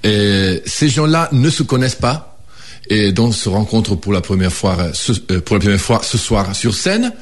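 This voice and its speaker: A man from Italy with an Italian accent, a guest improv performer speaking in a relaxed, joking way. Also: fairly low pitch, moderate pace.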